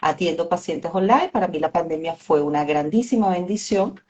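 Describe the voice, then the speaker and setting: A woman with a Venezuelan accent, a psychologist guest speaking thoughtfully on a video call, pausing often with "eh". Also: on the low side. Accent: Venezuelan Spanish. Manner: warm, thoughtful, with many "eh" pauses. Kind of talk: interview over a video call.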